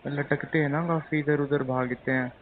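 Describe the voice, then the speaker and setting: A man's voice: fairly high pitch, steady tone, normal speed, a little hiss or hum, lightly acted. Tinny phone-line man playing a teasing young fiancé. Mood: playful, teasing. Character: teasing, penny-pinching young husband-to-be on a phone call. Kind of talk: acted intimate phone-call drama in casual chat.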